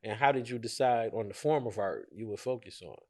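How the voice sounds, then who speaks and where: A man hosting a podcast, reading a scripted introduction and then asking relaxed interview questions. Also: on the low side, fairly quick. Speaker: podcast host.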